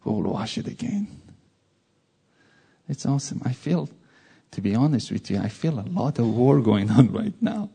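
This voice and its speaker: Gravelly man with a Persian accent. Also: medium pitch, normal speed, long pauses. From Iran. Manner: animated, jokey asides. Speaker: preacher. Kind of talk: informal sermon.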